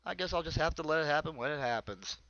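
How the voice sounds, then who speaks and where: A man's voice: fairly high pitch, expressive, fast talker, bright, forceful tone, no echo. An American man performs an autobiographical comedy monologue in a rambling, conversational storytelling manner. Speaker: amateur comedian. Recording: dull, lo-fi close dry recording.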